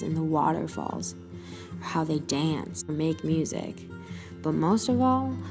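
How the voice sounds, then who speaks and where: Low-voiced young woman narrating over music. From United States. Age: young adult. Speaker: documentary narrator. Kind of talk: scripted narration.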